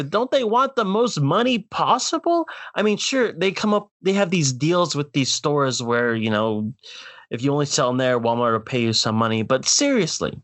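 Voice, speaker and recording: Man, podcast host, lively swooping delivery, mock-dramatic, exasperated, comedic ranting, dry studio sound. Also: a little high, no echo. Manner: mock-dramatic, exasperated, addresses listeners directly. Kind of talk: comedic rant.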